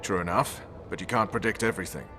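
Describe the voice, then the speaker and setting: A man acting as a hard military commander from a fantasy game. His voice is low and stern, blunt and resolute, with music playing behind it. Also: quick pace, close to an everyday voice. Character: hard, vengeful male special forces commander, loyal soldier. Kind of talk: dramatic video game acting.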